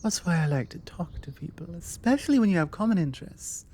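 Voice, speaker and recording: British female vampire, played by a woman, forward and self-assured, low mellow voice for ASMR roleplay. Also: expressive, fast. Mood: chatty, musing. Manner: chatty, self-assured, playfully insistent. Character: forward, confident female vampire making flirty small talk.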